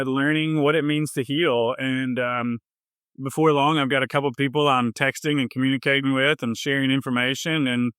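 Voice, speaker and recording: Bright, clear Southern American man. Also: mid-range voice, no echo, studio quality. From southern United States. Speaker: health coach, podcast guest. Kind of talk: personal storytelling in a casual interview.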